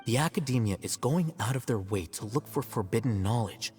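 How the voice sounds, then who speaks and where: A man playing an aloof, self-assured young scholar in a video game, measured, articulate and slightly smug. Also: mid-range voice, lively intonation, darkish tone, long reverb tail, soft background music, close to an everyday voice. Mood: explaining.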